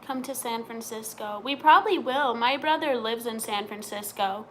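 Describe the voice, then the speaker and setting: A young woman with a slightly high voice chats on a home live stream and answers viewer questions in a relaxed, friendly way. A faint hiss of room noise sits under her voice. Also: moderate pace, rough recording. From Montana.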